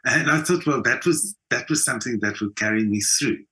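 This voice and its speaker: A man's voice: medium pitch, fairly quick, pushed and bright. A South African man telling personal stories conversationally as an interview guest, speaking emphatically and repeating words for emphasis. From South Africa, Johannesburg. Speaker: interview guest, actor and theatre designer. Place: video call from home country, indoors. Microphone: video call.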